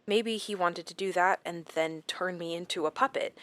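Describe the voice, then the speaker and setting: An actress playing a shaken woman recounting her captivity in a horror audio drama, halting and dramatic with deliberate pauses. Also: fairly low pitch, fairly bright tone, natural voice. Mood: shaken, unsure. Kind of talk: first-person horror audio drama narration.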